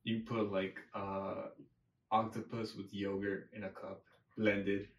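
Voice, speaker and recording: A man's voice: lowish voice, little pitch movement, a little slow, muffled recording. A man chatting casually in a rambling way, swearing freely as he trades banter on a podcast. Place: echoey room, away from the mic. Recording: roomy, distant mic, faint noise.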